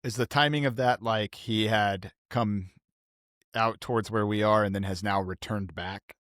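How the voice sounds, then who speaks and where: A man's voice: fairly low pitch, steady tone, measured pace, a bit bright, no echo, studio quality. A man playing a tabletop role-playing game, talking casually and tentatively as he thinks aloud.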